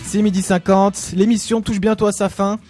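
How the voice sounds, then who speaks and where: Chatty young male radio host, high voice. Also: normal speed, soft background music. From Lyon, France. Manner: relaxed, chatty, hesitant with fillers. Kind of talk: casual student radio chat.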